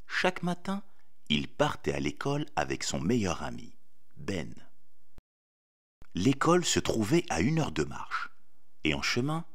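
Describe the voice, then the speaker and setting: A man narrating a scripted children's story expressively, with theatrical pauses. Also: deep voice, huge pitch swings, fairly bright tone.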